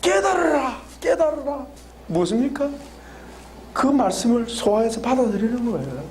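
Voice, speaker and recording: A man preaching to his congregation in a high voice that climbs and falls in wide, lively swings. He laughs through his lines and keeps firing questions for them to answer back, with a faint hiss beneath the recording. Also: normal speed. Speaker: pastor. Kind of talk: sermon with call and response. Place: church hall with congregation.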